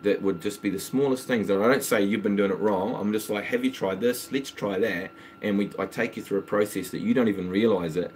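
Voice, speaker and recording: A man's voice: fairly low pitch, fairly quick, hardly any pauses, darkish tone, slight background noise, poor audio. A man who teaches guitar, chatting casually on a live stream at home and working through viewer song requests in a rambling, easygoing way. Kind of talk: casual live-stream chat between songs. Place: home, with a water pump running in the background.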